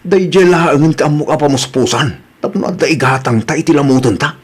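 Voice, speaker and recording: An actor playing a shameless, scheming husband who makes evasive excuses in a husky voice in an Ilocano comedy radio drama. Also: male voice, a little high, normal speed.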